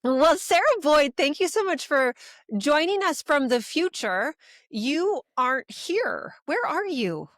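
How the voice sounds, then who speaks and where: A woman's voice: high-pitched, very animated, takes it slowly, fairly bright tone, no room sound, studio quality. A woman hosting a podcast, talking with upbeat enthusiasm as she introduces her guest and chats with her. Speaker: podcast host.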